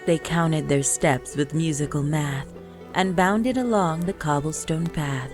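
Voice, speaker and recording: A woman storyteller reading a rhyming Christmas poem in a measured, lilting delivery. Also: low-pitched. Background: music.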